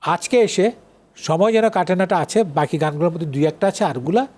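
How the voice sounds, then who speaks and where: An older man with a Bangladeshi accent and a somewhat higher-pitched voice. He speaks in a casual interview style, explaining things conversationally and often checking that he is being followed. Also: animated, fairly quick, a little mellow. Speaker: guest, song lyricist and writer.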